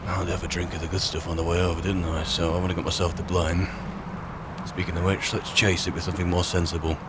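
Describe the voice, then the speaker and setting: A low-pitched voice of a man roleplaying a boastful, drink-loving archmage in a fantasy game. He talks in wry, colloquial asides, half to himself. Game ambience is clearly audible behind him. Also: little pitch movement, fast, heavy background noise, close to an everyday voice. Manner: sardonic, muttering asides, British colloquialisms. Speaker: roleplaying gamer narrating in character as an adventurer mage. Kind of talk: in-character game roleplay commentary.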